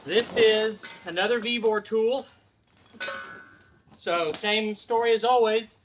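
A man's voice: high voice, slow, a little hiss or hum. A man in his thirties casually explaining a farm tool project outdoors, step by step, in a chatty vlog style. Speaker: farm vlogger. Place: outdoors at a farm, by a garage and a pond.

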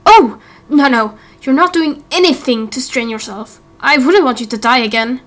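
A woman voicing an excitable, caring young sailor in a game roleplay, speaking earnestly and emphatically. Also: sits high, normal speed, lightly acted. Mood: protective. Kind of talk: Minecraft roleplay voice acting.

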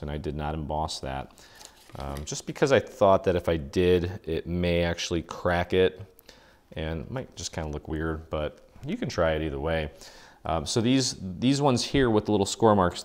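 A man hosting a casual step-by-step paper craft tutorial, chatty and relaxed, thinking aloud with fillers as he works. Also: sits low, moderate pace.

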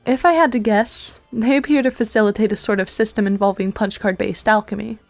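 A woman voicing a dry, precocious teenage girl in a web comic dub, speaking in a measured, formal, deadpan way. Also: mid-range voice, creaky, soft background music, natural voice. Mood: composed.

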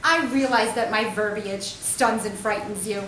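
A woman's voice: medium pitch, measured pace, fairly bright tone, close to an everyday voice. A woman voicing a bookish teenage girl who shows off big words, read in a storybook with a lofty manner. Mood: smug. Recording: roomy, distant, faintly noisy.

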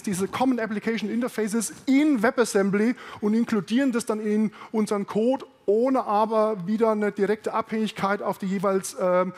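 Man giving a keynote in a reverberant hall. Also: high-pitched. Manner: conversational, many fillers and restarts, tag questions. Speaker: conference keynote speaker. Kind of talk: technical keynote talk. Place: large conference hall on stage.

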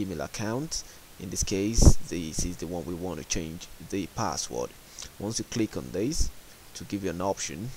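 A man with a very deep voice presents a step-by-step tech tutorial. He speaks in a plain, instructional manner with some hesitation. The voice-over is a home recording with a faint hiss running under it. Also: darkish tone, low-quality recording. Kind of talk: step-by-step screen tutorial narration. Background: clear background hiss.

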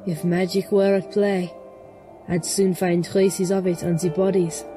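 A woman playing a grave, mysterious seer, narrating in the first person in an audio drama with measured solemnity. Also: fairly low pitch, little pitch movement, over music, lightly acted. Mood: grave, intent. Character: grave, mysterious female occult seer narrating her night.

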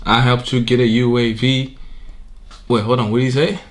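A man's voice: medium pitch, flat delivery, moderate pace. A young man in his twenties giving casual reaction commentary from his bedroom, talking loosely with slang, bursting into laughs and exclamations. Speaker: music video reactor. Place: bedroom with wardrobe, indoors. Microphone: webcam with headphones on. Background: music video playing.

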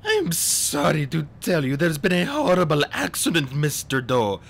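A man's voice: huge pitch swings, moderate pace, a bit bright, a little hiss or hum, full character voice. A man voicing a scheming villain who pretends to be a distressed, fluttery assistant in an audiobook story. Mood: feigned sorrow. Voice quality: high, fluttery when pretending. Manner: theatrical, oily, falsely apologetic.

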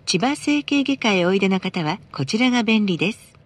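A woman's voice: medium pitch, lively intonation, brisk. A woman reading scripted advertising announcements for a bus, politely and formally.

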